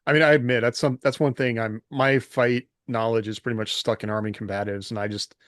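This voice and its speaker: Male podcast co-host, mid-pitched, dry close sound, casual and self-deprecating. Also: little pitch movement, brisk, dry recording. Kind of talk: casual chat.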